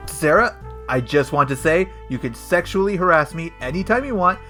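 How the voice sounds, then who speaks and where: Comedy audio drama: male actor as deluded, pompous police detective, hesitant delivery, animated intonation. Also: on the high side, moderate pace, soft background music. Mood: smug, self-assured. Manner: pompous, hesitant, full of himself. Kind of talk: comedic audio play acting.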